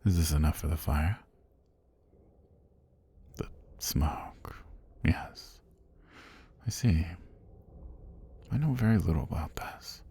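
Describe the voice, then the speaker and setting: An ancient, sinister serpent god, played by a man, speaks in a dark, very husky murmur for a fantasy ASMR roleplay. He takes his time, with formal and deliberate phrasing that swoops and dips. He sounds languid, amused and quietly menacing. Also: a bass voice, lively intonation, full character voice. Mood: languid, calm. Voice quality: husky, breathy, gravelly.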